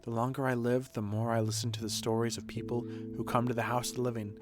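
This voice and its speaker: A man reading game dialogue as an earnest, dutiful woman who runs a shelter, in a measured, formal, reflective manner. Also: medium pitch, little pitch movement, natural voice. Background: music.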